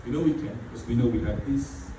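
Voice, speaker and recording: A man's voice: mid-range voice, quick pace. Echoey distant male preacher. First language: not English. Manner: repeats phrases for emphasis, many "you know" fillers. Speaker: pastor. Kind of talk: sermon. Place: church hall. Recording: very echoey hall, far from mic, background noise.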